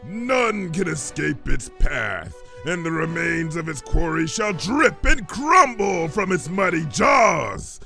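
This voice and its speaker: Guttural alligator doom prophet, slow, loud, menacing and grandly theatrical, cartoon villain style. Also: male voice, big pitch swings, creaky, soft background music, exaggerated voice. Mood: ominous, grandiose. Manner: slow, booming, theatrical proclamations.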